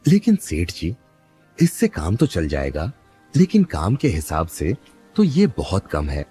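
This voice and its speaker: A man's voice: low voice, huge pitch swings, measured pace, mellow, faint music behind, full character voice. A man playing a poor, earnest village labourer in a cartoon moral story, with a pleading, trusting manner.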